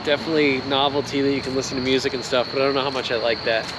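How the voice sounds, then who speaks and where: A man's voice: mid-range voice, steady tone, rapid-fire, very noisy. A man chatting casually and playfully on a vlog, slangy and exclamatory while eating. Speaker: vlogger.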